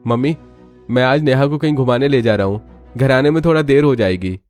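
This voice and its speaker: A man's voice: mid-range voice, fairly quick, darkish tone, soft background music, close to an everyday voice. A man voicing a devoted, modest young husband in a cartoon, affectionate and sincere.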